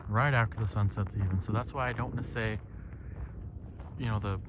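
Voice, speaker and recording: A man's voice: lowish voice, fairly even intonation, fairly quick. A man thinking aloud in an unscripted, rambling, reflective way while walking outdoors. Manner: musing, rambling, often checking himself with "right?". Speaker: solo audio diarist. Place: outdoors, walking on a levee at sunset. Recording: very noisy outdoor ambient recording. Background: loud outdoor ambience, birds.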